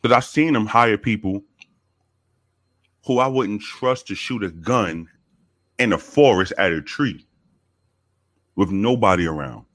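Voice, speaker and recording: A man from the Bronx with a very deep voice, telling profane, animated stories with many restarts in a casual interview. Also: unhurried, pauses often, dry recording, studio quality. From the Bronx, New York. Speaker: guest, former police officer.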